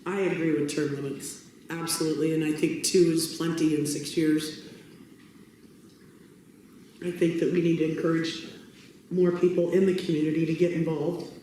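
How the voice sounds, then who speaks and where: Measured woman speaker, mellow and monotone, in an echoey hall with faint noise. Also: sits low. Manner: measured, hesitant with fillers. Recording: echoey room, background noise.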